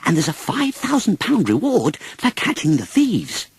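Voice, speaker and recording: An eager little cartoon mouse detective, put on by a man reading a storybook. The voice is pitched high and husky, and it leaps about excitedly. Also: normal speed, no echo, heavily acted.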